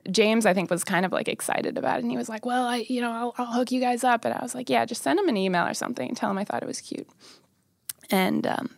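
A woman's voice: on the high side, fast, vocal fry, dry recording. A woman interview guest casually recalling personal memories in a studio.

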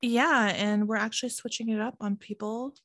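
A woman's voice: on the high side, steady tone, normal speed, fairly bright tone, no echo, studio quality. A woman co-hosting a podcast, bubbly and giggly, rambling in casual chat. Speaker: podcast co-host.

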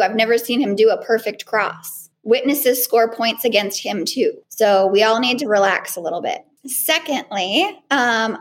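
A woman trial lawyer with a small, girlish voice, answering interview questions in a relaxed way with frequent ums and restarts. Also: medium pitch. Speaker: trial lawyer and cross-examination trainer.

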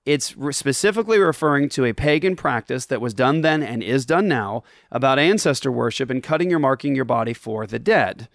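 A man's voice: medium pitch, lively intonation, a little fast, vocal fry, hardly any pauses, dry recording, studio quality. A man who grew up in Japan, hosting and casually explaining a Bible passage to listeners, with frequent ums and restarts. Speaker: podcast host answering listener questions. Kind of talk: casual Bible teaching chat.

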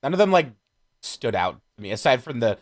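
A man chatting casually as a podcast panelist, critiquing films in an animated, sarcastic way. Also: medium pitch, a little fast. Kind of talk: casual film criticism chat.

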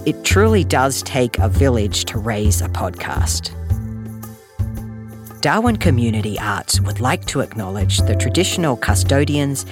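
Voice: mid-range voice, sing-song, measured pace. A podcast host reading scripted credits and announcements in a friendly manner, addressing listeners directly. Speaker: podcast host and series creator. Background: music.

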